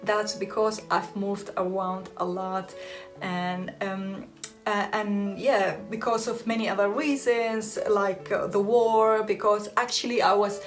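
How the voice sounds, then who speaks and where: A woman's voice: medium pitch, fairly even intonation, slow, faint music behind. Chatty woman, native Aramaic speaker. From Iraq. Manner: chatty, laughs often, loose restarts. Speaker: hair and beauty vlogger. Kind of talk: casual talk to camera.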